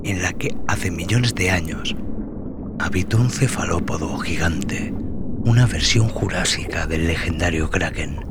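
A man narrating dramatic scripted horror as a podcast host, brooding, theatrical and deliberate in his delivery. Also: low-pitched, noisy background. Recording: loud music under the voice.

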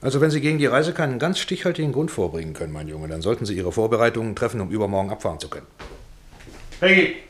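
A man's voice: lowish voice, very animated, very fast, fairly bright tone. A man acting in a radio drama as a domineering, wheedling Hollywood producer, bossy and patronizing in manner. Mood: pushy, impatient.

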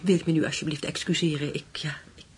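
An actress in a Dutch radio play voicing a composed, resolute widow with political convictions. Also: female voice, low-pitched, steady tone, fast, a little hiss or hum, close to an everyday voice. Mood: taken aback, weary.

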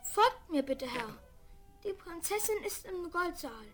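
A woman's voice: extremely high pitch, big pitch swings, unhurried, soft background music, heavily acted. A woman playing a polite, dutiful little page boy in a children's fairy-tale audio play, courteous as they explain things. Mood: polite, eager. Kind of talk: 1970s German children's audio play acting.

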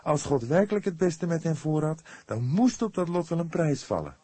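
A man voicing an earnest, devout young woman in an audiobook, speaking patiently and with solemn emphasis, quick to defend herself. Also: fairly high pitch, very animated, warm tone, slightly rough, dry recording, pitched above the performer's own voice. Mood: patient, insistent.

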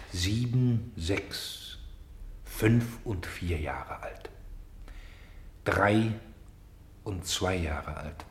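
A man acting as an insistent, solemn narrator and director in a German radio play, speaking deliberately with emphatic pauses. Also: lowish voice, reverberant. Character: solemn, insistent male stage narrator presenting a grave play. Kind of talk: theatrical German radio play, addressing the audience.

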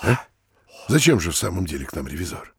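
Provincial mayor, middle-aged, nervous schemer, swooping intonation, dry close sound, hesitant wheedling, theatrical audio comedy. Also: male voice, low-pitched, very animated, quick pace, creaky, breathy edge, no echo, exaggerated voice. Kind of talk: theatrical stage-comedy acting in an audio play.

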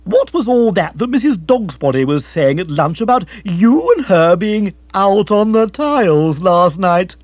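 A man's voice: high voice, sing-song, unhurried, hardly any pauses, dry recording, highs cut off. A man voicing a blustering, hearty, tactless upper-class English husband in a storybook, jovial and pompous. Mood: hearty, nosy.

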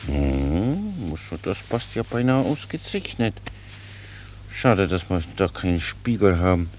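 An actor playing a naive, talkative, good-natured Czech soldier in a radio play, rambling on in a folksy, guileless way. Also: male voice, low voice, big pitch swings, measured pace, a little mellow. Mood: musing, curious. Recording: noisy radio play recording.

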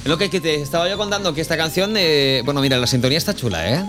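A man's voice: a little high, lively intonation, fast, loud music behind the voice. A man with an accent from Spain hosting a casual radio chat, bantering informally and often checking for agreement. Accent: Spanish from Spain. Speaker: radio host.

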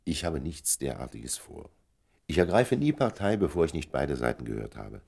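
An actor in a German radio play voicing a courteous, diplomatic gentleman, urbane and measured, with a gravelly, husky voice. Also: rumbling low, very animated, heavily acted.

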